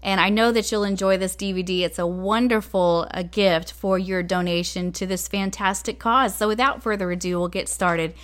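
A woman's voice: mid-range voice, steady tone, moderate pace, few pauses, fairly bright tone. A woman co-hosting a podcast, delivering an introduction and announcements. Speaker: podcast co-host. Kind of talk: scripted show introduction and announcements.

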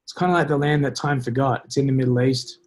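A man's voice: mid-range voice, steady tone, fast talker. Rambling, jokey Australian man chatting. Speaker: interview guest, former special forces soldier. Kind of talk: casual podcast conversation, storytelling.

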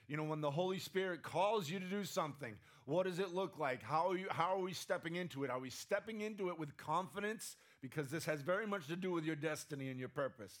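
A man's voice: fairly high pitch, quick pace, hardly any pauses, a bit bright. A man preaching a conversational sermon, often asking the room "right?", his voice strained and sometimes cracking. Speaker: pastor.

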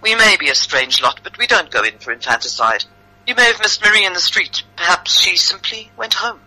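Woman acting a bright-voiced, indignant outcast. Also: lowish voice, lively intonation, a little fast, pitched above the performer's own voice, exaggerated voice, rough recording. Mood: calm defiance. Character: defiant disguised outcast defending their slandered people.

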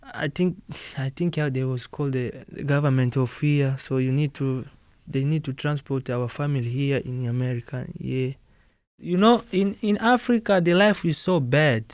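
A man with a Congolese accent answering interview questions in halting, non-native English, often repeating words and laughing. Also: mid-range voice, no echo. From Democratic Republic of Congo. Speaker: guest musician.

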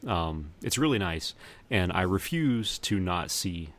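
Deep-voiced man, laid-back. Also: animated, measured pace, a bit bright. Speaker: podcast co-host. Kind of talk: casual chat about films.

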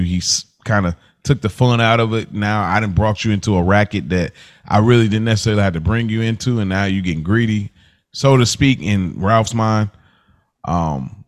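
Laid-back man recapping a show. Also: lowish voice. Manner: rambling, loose, chuckles often. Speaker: podcast co-host. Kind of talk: casual recap chat.

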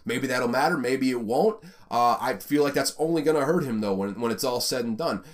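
Southern-accented male sports host, loose chatty rundown, lots of ums and uhs, mid-pitched voice. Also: a little fast. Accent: Southern American. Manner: rambling, filler-heavy, conversational. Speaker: college football podcast host. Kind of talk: casual sports commentary.

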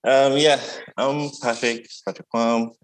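A man's voice: mid-range voice, steady tone, takes it slowly, rough recording. A man, an artist chatting easily as a guest and often adding "yeah". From Ghana. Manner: easygoing, reflective, often adds "yeah". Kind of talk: casual conversation.

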